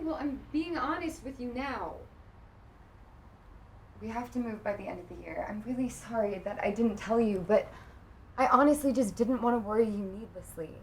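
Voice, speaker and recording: A woman's voice: on the high side, a little mellow, slight background noise, natural voice, poor audio. A woman playing a wounded, insistent long-term girlfriend in a naturalistic film drama, pressing and emotional in her questioning. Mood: apologetic.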